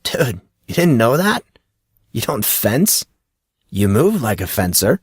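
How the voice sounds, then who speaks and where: A man acts out a teenage boy for an audiobook, an enthusiastic martial arts student. He talks in loose, slangy bro-talk with lively swings in pitch. The sound is dry and close. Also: slow, husky, no echo, exaggerated voice. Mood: casually impressed. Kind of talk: audiobook character dialogue.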